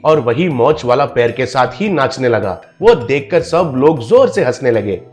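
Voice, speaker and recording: A man's voice: mid-range voice, very animated, unhurried. Guy narrating a funny scripted story, music in the background.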